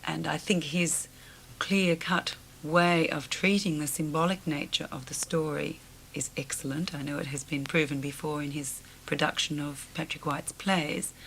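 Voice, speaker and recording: Woman with a low voice, opera singer guest, thoughtful and measured, faint hiss of an old 1980s radio broadcast. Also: a bit bright, low-quality recording. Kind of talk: radio interview chat.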